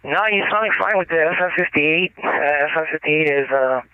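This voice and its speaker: A radio operator giving an on-air signal report, a man talking casually in halting phrases full of ums and uhs. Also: fairly high pitch, smooth, no room sound, narrow, tinny audio. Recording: thin radio transmission.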